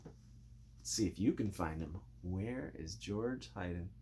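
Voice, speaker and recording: Very animated man reading a children's story. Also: low voice, slow pace, a little hiss or hum. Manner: expressive, playful, addressing young listeners. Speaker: storybook reader.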